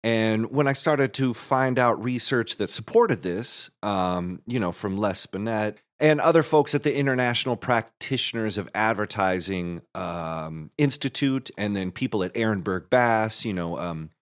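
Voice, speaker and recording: A man giving casual, halting, thoughtful explanations as an interview guest. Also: lowish voice. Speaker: guest, composer and marketer.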